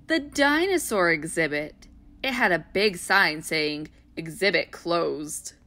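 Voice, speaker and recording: A woman reading a children's picture book aloud in a gentle, expressive, child-friendly way. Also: lowish voice. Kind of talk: storybook read-aloud.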